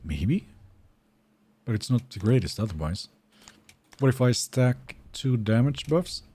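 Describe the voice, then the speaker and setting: A man with a Swedish accent, Finnish by first language, chats through a live game stream at an unhurried pace. His voice is mellow and dark in tone, with lively swings in pitch. He muses out loud and replies to viewers as he plays. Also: a little hiss or hum. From Sweden. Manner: thinking aloud, musing and answering chat. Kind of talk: casual live-stream chat while gaming.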